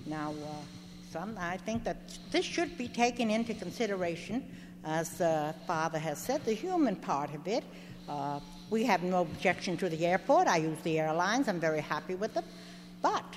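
Older woman, lively insistent complaint, echoey hall. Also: lowish voice, moderate pace. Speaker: resident speaking at a public hearing. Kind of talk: public comment at a hearing. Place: large meeting hall. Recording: echoey hall with faint hum.

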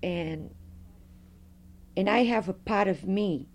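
A middle-aged woman, a Fado singer, telling stories with a strong, loud voice in an interview. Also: mid-range voice, takes it slowly, creaky. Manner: animated storyteller, strong loud voice. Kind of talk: oral history interview.

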